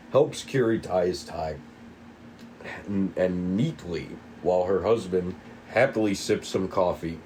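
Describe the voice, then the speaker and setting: A man's voice: lowish voice, dark tone, a little hiss or hum, low-quality recording. A man reading stage directions aloud as the narrator of a table read.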